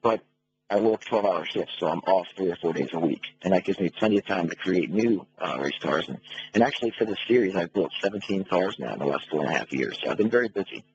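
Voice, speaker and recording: A middle-aged American man with a very low voice gives a conversational interview. He explains things matter-of-factly over a muffled phone line with some light noise on it. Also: animated, fast, a little mellow. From United States. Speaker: phone-in interview guest, race car builder. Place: phone call to a radio show. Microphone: telephone.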